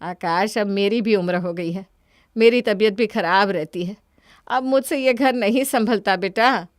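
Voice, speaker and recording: An anxious ageing mother from a cartoon drama, acted by a woman. She coaxes and pleads with gentle persistence, at a middle pitch in a dry recording. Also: unhurried, no room sound, lightly acted. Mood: worried, coaxing. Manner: coaxing, pleading.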